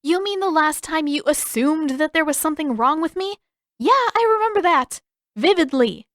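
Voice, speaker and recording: A woman plays a feisty teenage girl in an audiobook story, her voice pitched high and swooping. She is defensive and huffy, snapping with exasperated emphasis. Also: animated, moderate pace, a bit bright, no echo, clean studio sound.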